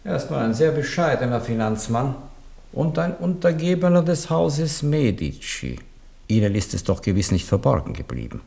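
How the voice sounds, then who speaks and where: A man's voice: mid-range voice, very animated, gravelly, darkish tone. An actor playing a cautious, insinuating adviser who warns the king in a radio play. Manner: measured, warning, insinuating. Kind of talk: 1970s radio play acting.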